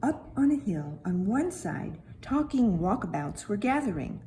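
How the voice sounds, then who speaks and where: A woman voicing a childlike, tender-hearted talking stone that tells a children's Bible story with dramatic, hushed and emphatic delivery. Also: mid-range voice, sing-song, measured pace, slight background noise. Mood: wondering.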